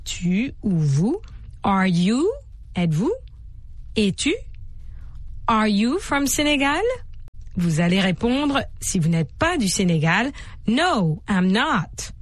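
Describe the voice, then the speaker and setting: Female radio language teacher, husky voice with lively intonation, didactic and deliberate, faint music behind. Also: medium pitch, no room sound. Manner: didactic, deliberate enunciation of examples. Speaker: language lesson presenter. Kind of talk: scripted bilingual English lesson for radio, with repeat-after-me drills. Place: radio studio.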